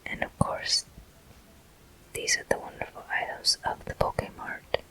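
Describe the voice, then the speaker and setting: A person whispering ASMR game commentary in a deliberate manner, pausing between short phrases. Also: low-quality recording. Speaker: gameplay host.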